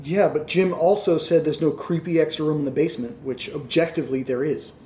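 A man's voice: fairly even intonation, a little fast, dark tone, natural voice. A man playing a frustrated, spooked young man in an audio drama, agitated and swearing as he rants. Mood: uneasy, accusing. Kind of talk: naturalistic audio drama acting. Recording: noisy, rumbly amateur recording.

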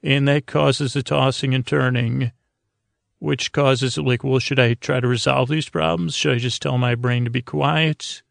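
Gravelly, creaky man rambling at bedtime. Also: mid-range voice, steady tone, no room sound, clean studio sound. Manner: meandering, halting, self-deprecating, trails off mid-thought. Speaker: podcast host. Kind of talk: rambling bedtime podcast monologue, casual.

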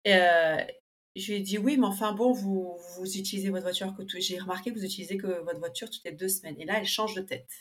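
A woman's voice: medium pitch, fast talker. A woman guest in a casual interview, talking with many fillers and restarts, and livelier when she tells a story. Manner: lively when telling an anecdote.

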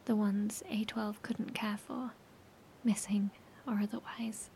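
A woman playing a weary, sombre bunker leader speaking in a hushed, breathy, measured way in a horror audio drama. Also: medium pitch, level tone, a little hiss or hum. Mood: mournful.

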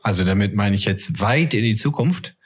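A man's voice: on the low side, expressive, moderate pace, slightly rough. A man around his thirties chatting casually on a podcast, colloquial, jokey and self-deprecating.

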